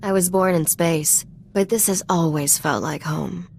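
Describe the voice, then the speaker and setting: A woman's voice: fairly low pitch, unhurried, gravelly, darkish tone, slight background noise. A woman playing a cool, confident smuggler's partner in a video game cutscene. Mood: cool, assured. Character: confident worldly young female smuggler's partner, cool and sly.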